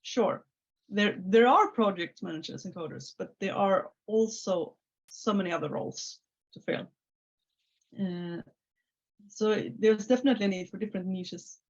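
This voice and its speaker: A woman's voice: mid-range voice, moderate pace. A Swedish woman in her thirties with a Swedish accent, talking casually as an interview guest over a video call, with many filler sounds and restarts. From Sweden. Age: 30s. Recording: slightly muffled video call audio.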